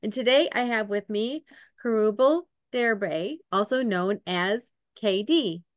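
A woman's voice: medium pitch, slow pace, no echo, muffled recording. Upbeat woman podcast host on video call. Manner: upbeat, reads guest bio aloud.

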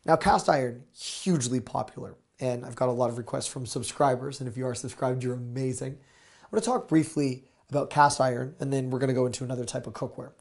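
A man in his thirties, slightly hoarse, pitching bargains to camera with upbeat, salesy energy. He talks on a lapel mic in a small studio lined with gadgets. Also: fairly quick. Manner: energetic, salesy, gesturing with products. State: a little hoarse. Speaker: deal show host.

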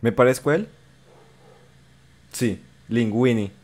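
A man's voice: mid-range voice, slow, darkish tone. Joking Colombian guy reacting to a video. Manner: joking, chatty, talks straight to viewers. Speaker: reaction streamer. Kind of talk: casual reaction commentary. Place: bedroom streaming setup. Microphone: studio mic on arm in front.

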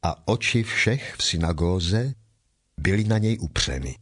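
A man narrating scripture from a script in a measured, formal manner. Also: low voice, animated, a bit bright, slightly rough.

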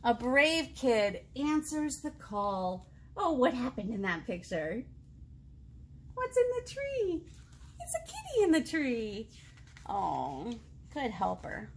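A woman in her thirties reading a picture book aloud to young children as a storytime host, expressively, pausing to ask them questions. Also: a little high, a little hiss or hum. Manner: expressive, child-directed, asks questions and pauses. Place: indoor room, seated.

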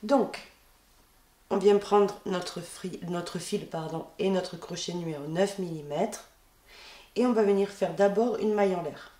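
A woman with a head cold gives a calm step-by-step crochet lesson, counting her stitches aloud as she works at a table. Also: fairly low pitch, measured pace. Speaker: crochet tutorial host. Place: indoors at a wooden table.

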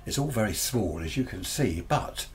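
A man's voice: fairly low pitch, lively intonation, normal speed, darkish tone, slight background noise. An older British man giving a casual, rambling, unscripted demonstration, chatting with frequent tag questions. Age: 60s or older. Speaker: presenter reviewing radio gear. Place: small cluttered indoor radio workshop.

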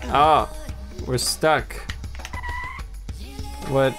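A man chats casually as a let's play co-host. His pitch sits in the middle range for a man, and game music plays clearly behind him. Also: steady tone, very slow. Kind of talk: casual gameplay chat.

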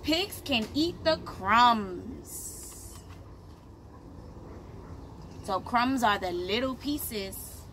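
Bright-voiced woman reading slowly to children. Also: fairly high pitch, lively intonation. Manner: deliberate, simple phrasing addressed to small children. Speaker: children's teacher reading aloud, child care director. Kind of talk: picture-book read-aloud for young children. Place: outdoors, on a patio beside plants. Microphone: camera a short distance away. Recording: clear outdoor background noise.

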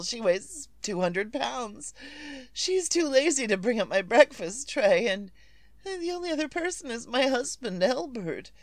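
Fretful bedridden wife, radio thriller; high voice, swooping intonation, imperious and easily frantic. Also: female voice, no echo. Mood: anxious. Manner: fretful, imperious, stammering and repeating herself. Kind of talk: 1940s radio suspense acting.

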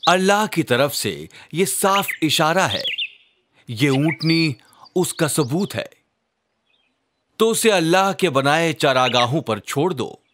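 A man's voice: a little high, expressive, takes it slowly, fairly bright tone, slight background noise, pitched above the performer's own voice. Man voicing a solemn, emphatic preaching prophet. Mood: solemn, warning. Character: solemn male prophet instructing and warning his tribe. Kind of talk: narrator acting a children's cartoon story.

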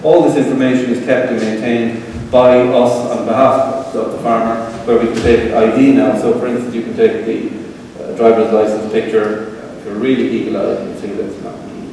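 Middle-aged Irish man, relaxed presenter with fillers; mellow tone, long hall reverb. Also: medium pitch, moderate pace, vocal fry, few pauses, a little hiss or hum. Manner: relaxed, conversational, frequent fillers. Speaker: tech presenter. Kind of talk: conference talk with live demo. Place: event hall with seated audience. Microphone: room pickup from far camera. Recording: roomy hall echo from far off.

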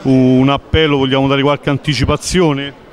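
A man's voice: mid-range voice, flat delivery, a faint crowd. A man in his forties with an Italian accent, a TV reporter introducing an event and asking questions on a handheld mic in a large lobby. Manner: brisk, announcing. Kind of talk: event interview. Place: large indoor lobby of a concert venue.

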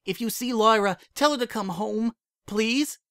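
A man voicing a heartbroken young female pony who speaks in a cold, flat tone that breaks into sobbing, in a dry recording. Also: high-pitched, normal speed, dry recording, voice pushed up. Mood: urgent.

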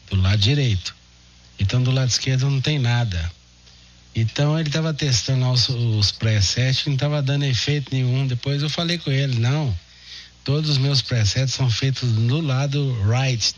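A Brazilian man talks in a relaxed, conversational way as a radio operator. His middle-pitched voice comes through muffled, narrow audio with some light humming noise. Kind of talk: casual radio chat.

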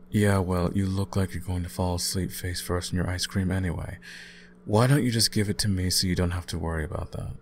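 A young man playing an easygoing, caring student roommate in an intimate ASMR audio roleplay, talking casually with gentle teasing. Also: low voice, steady tone, fairly quick, slight background noise, natural voice. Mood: playful. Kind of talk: intimate ASMR boyfriend-style roleplay, conversational acting.

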